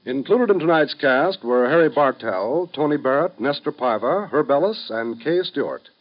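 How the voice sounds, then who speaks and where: A man's voice: mid-range voice, animated, moderate pace, slight background noise. A man announcing in a formal, emphatic, declamatory 1950s radio style, reading from a script. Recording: dull vintage radio broadcast.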